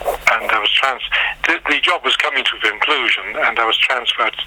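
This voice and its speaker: An older man from Liverpool, recalling his life in an oral history interview, unhurried and rambling with frequent pauses and restarts. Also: mid-range voice, bright, forceful tone, breathy edge, no room sound, low-quality recording. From Liverpool, England.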